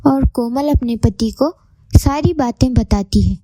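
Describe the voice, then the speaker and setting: A woman narrates a children's moral tale from a script. Her voice is high and mellow, the pace is slow, and the sound is dry. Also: dry recording. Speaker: story narrator.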